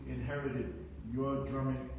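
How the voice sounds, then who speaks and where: A man hosting a casual onstage interview with musicians, eager and quick to praise and laugh. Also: medium pitch, little pitch movement. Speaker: host and interviewer. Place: music hall venue. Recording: echoey hall from far off, faint noise.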